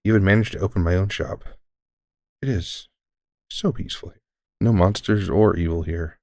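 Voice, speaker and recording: A man playing a deranged centuries-old elf shopkeeper who is courteous, formal and unsettlingly calm, in an audio roleplay. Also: sits low, normal speed, no echo, clean studio sound. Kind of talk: scripted audio roleplay addressing the listener.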